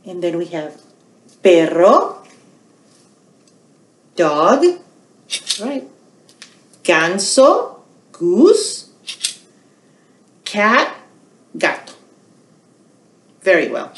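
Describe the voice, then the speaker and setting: A middle-aged woman hosts a bilingual storytime for young children in a small, echoey room. Her pitch moves in lively swings and her pace is unhurried. She speaks deliberately, posing questions and repeating phrases like a teacher. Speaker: children's storytime host at a public library. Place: small quiet indoor room.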